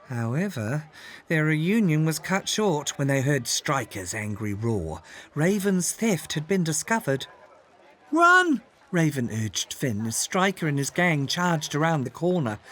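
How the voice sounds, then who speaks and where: A man narrating a scripted children's story in an expressive, dramatic way. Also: fairly high pitch.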